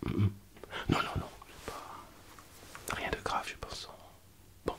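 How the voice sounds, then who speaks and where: A man playing a grumpy yet soothing specialist doctor in an ASMR roleplay, murmuring in an unhurried, slightly pompous way. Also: natural voice. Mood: clinical, murmuring. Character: grumpy, soothing male specialist doctor called out on Christmas Eve. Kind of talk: French ASMR roleplay, whispered acting.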